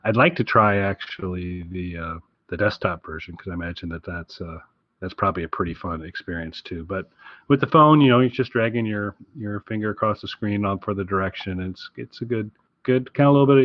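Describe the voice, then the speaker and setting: A man's voice: fairly low pitch, a little fast, no echo, lo-fi sound. A man co-hosting a podcast in loose, casual chat. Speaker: podcast co-host.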